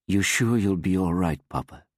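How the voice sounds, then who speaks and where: A man's voice: sits low, normal speed, husky, dry recording, clean studio sound, voice pushed up. A man voicing a grown eldest son who speaks in a concerned, affectionate way. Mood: worried. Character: grown male eldest son, worried, tender.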